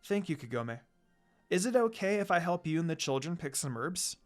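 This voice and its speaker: A man voicing a kind young female wolf-demon princess in a gentle, soft manner for a story reading. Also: on the high side, sing-song, moderate pace, soft background music.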